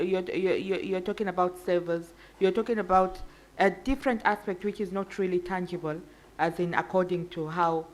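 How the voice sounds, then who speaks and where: A woman speaking as a panelist in a discussion, with frequent restarts and fillers. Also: on the low side. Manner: hesitant, many restarts and fillers.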